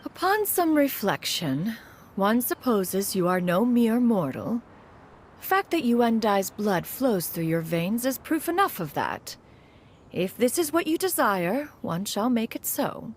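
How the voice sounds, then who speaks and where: A woman's voice: on the high side, big pitch swings, a little slow, a little hiss or hum. A woman playing a proud, caring immortal elder in a video game, speaking in a formal, haughty manner. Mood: measured, approving. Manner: formal, haughty, refers to herself as "one".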